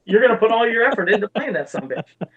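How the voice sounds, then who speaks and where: A man's voice: high-pitched, very animated, racing, dull audio. A man chatting casually about games as a podcast co-host, telling rambling stories with plenty of swearing. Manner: profane, rambling storyteller. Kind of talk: casual gaming chat.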